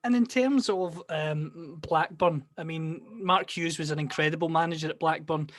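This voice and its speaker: A Scottish man hosts an interview, leading into each question with a long setup. His voice sits a little high. The sound is dry, with no room echo. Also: moderate pace. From Scotland. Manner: long, carefully set-up questions. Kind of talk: podcast interview.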